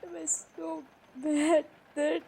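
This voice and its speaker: Over clearly audible background ambience comes a high, soft-toned young woman, acted out by a woman. She is hurt and guarded, in an intimate audio roleplay drama. Her delivery is emotional and halting, with a mellow edge. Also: little pitch movement, a little hiss or hum. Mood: tearful, confessing. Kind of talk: improvised romantic roleplay drama.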